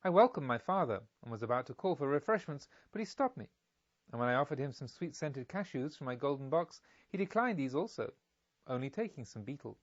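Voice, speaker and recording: A man reading literary narration in a measured, formal and expressive storytelling manner. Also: mid-range voice, no echo, muffled recording. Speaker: audiobook reader. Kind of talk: scripted literary narration in first person.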